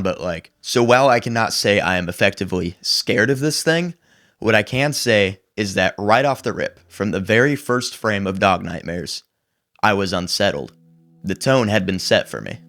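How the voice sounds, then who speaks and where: Guy w a deep voice doing a horror video essay, measured storytelling, bit casual, music faintly behind him. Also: fairly bright tone. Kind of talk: scripted horror commentary with casual asides. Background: background music under the voice-over.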